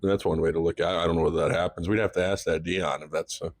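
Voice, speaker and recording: A man's voice: low-pitched, steady tone, racing. A man of thirty-five co-hosting a podcast, rambling in casual chat, fumbling his words and laughing at himself. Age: 30s. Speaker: podcast co-host.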